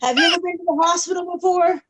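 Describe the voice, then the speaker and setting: A woman reading a picture book aloud to young children on a video call, pausing to check in with them using simple questions. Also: very high voice, steady tone, a bit bright. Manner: pauses to check in with young listeners.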